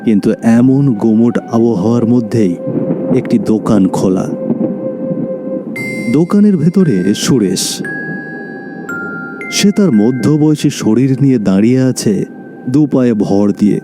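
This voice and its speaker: A man's voice: medium pitch, expressive, a little slow, pauses often, over music. A man narrating a scripted cartoon story in a dramatic storytelling style.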